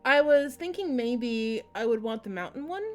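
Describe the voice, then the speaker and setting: Girl streamer chatting casually while she plays, higher voice, game music going behind her. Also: animated, moderate pace. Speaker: livestreamer playing a game. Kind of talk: casual gameplay commentary and reading aloud. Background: video game music.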